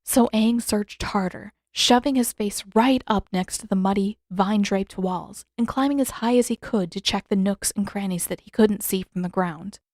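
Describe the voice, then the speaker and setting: Female audiobook narrator, medium pitch, scripted fiction read, dry recording. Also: normal speed, hardly any pauses, a little mellow, no room sound.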